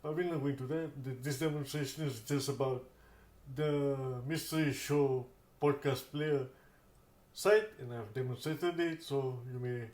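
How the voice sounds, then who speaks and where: A man talks through an informal app demonstration in a mid-pitched voice. His phrasing is halting and circles back on itself. The room adds a noticeable echo. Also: fairly even intonation, a little mellow. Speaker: host of accessibility tech demonstration videos. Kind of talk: informal spoken tutorial walkthrough. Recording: roomy echo.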